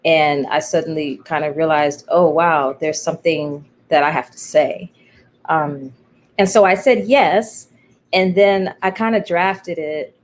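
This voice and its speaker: A woman playwright speaking as an interview guest, telling her story in a candid, thoughtful, halting way. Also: deep voice, fairly even intonation. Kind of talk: reflective conversational storytelling.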